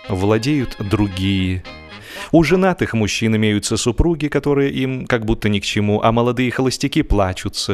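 A man narrating comic literature as an audiobook performer, in an expressive, mock-serious way, drawing out the ends of his phrases. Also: medium pitch. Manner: expressive, wry mock-serious delivery with drawn-out phrase endings.

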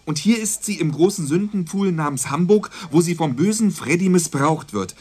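An actor playing a young, sceptical, quick-witted police dispatcher in a radio crime drama, talking in a wry, questioning way. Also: male voice, a little high, animated, darkish tone, slightly rough. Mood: realising, sardonic. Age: young adult.

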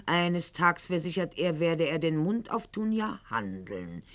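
A woman's voice: sits low, expressive, unhurried. An actress playing a proud, witty, wounded aristocratic lady in a theatrical radio drama monologue, articulate and ironic. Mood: scornful, ironic. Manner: articulate, haughty, ironic, literary phrasing.

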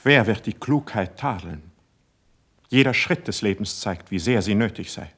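Casting: sensitive young poet for verse radio drama; lowish, breathy voice, lofty fervent delivery. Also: male voice, animated, normal speed, fairly bright tone, exaggerated voice. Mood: earnest, wounded. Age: young adult. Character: idealistic, impulsive young male poet, ardent and sensitive. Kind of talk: classical German verse radio drama acting.

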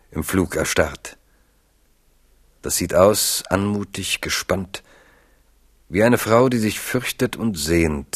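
A man narrating a literary radio drama in a measured, expressive storytelling manner. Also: sits low, slightly rough, no room sound, studio quality.

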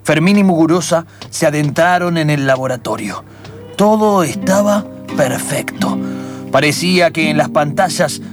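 A man narrating an improvised radio play in dramatic, halting phrases. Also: a little high.

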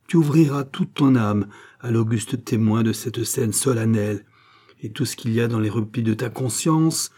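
A man with a husky voice reading the part of an intense male doctor who forces his will on a countess, declaiming with rising fervour. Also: fairly low pitch, dark tone. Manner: commanding, rising to fervent declamation.

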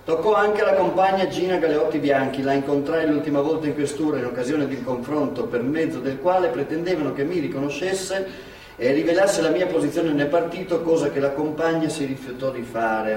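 A man's voice: fairly high pitch, a little fast, darkish tone, close to an everyday voice. An actor playing an earnest male interviewer in a stage play, putting questions and reading out testimony. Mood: sober. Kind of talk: stage dialogue and read testimony. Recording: echoey theatre, distant mic, faint noise.

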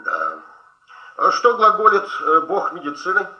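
Bossy affectionate friend, teasing, admonishing, radio play, echoey, dull old recording. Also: male voice, high-pitched, wildly swooping pitch, measured pace, full character voice. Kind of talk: Soviet radio drama acting.

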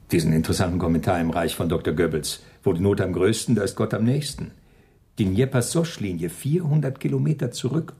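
Very husky, deep, calculating Nazi functionary, scornful, radio drama. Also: male voice, warm tone. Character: calculating male Nazi camp functionary, scornful, zealous, cold. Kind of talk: German radio drama acting.

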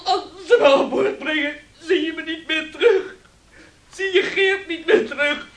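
An actor playing a terrified young sailor in a Dutch radio play, pleading with a strained, husky voice and repeating himself in fear. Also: male voice, very high-pitched, a little slow, fairly bright tone, exaggerated voice. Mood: desperate.

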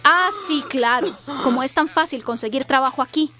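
Radio soap role: sensible, sardonic friend, high voice, chiding, music underneath. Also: female voice, normal speed, bright, forceful tone. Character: sensible, sardonic female friend calming her companion.

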